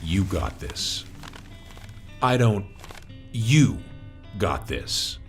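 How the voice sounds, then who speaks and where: A man's voice: big pitch swings, extremely slow, soft background music, full character voice. A man playing a big, intimidating wolf tough guy in an audio drama, speaking with territorial, drawling menace. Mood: suspicious, incredulous. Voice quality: deep, low voice. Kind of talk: audio drama character acting.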